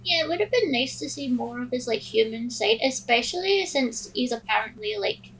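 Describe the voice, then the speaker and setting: A woman's voice: on the high side, animated, moderate pace, a bit bright, slight background noise, low-quality recording. A woman co-hosting a podcast in casual chat, hesitant and peppering her sentences with filler. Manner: hesitant, heavy use of "like". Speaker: podcast host.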